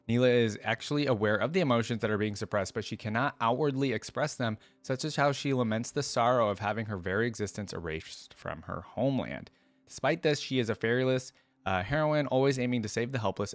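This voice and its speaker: A man's voice: medium pitch, no echo. A man hosting a reaction video, casually reading aloud and musing with his own commentary.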